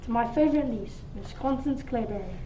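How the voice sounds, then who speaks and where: A teenage girl playing a formal, measured lawyer reading a will in an amateur school radio play. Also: fairly high pitch, dark tone, natural voice. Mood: formal, composed. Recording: roomy, distant, dull, faint noise.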